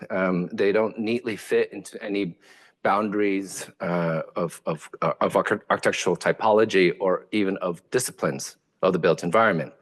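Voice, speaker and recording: A man whose first language is Vietnamese, an architect giving a lecture in a hesitant way, with many fillers and restarts. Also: fairly low pitch. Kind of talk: academic lecture.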